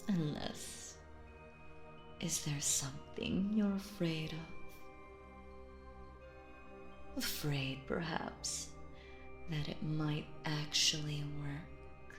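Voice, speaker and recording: Casting: woman as sinister female magician, possessive and coaxing, theatrical, very unhurried, lowish voice, roleplay audio. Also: steady tone, echoey room, music in the background. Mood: coaxing, teasing menace. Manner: theatrical, slow, silky, addresses listener as dear. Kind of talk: scripted roleplay audio acting.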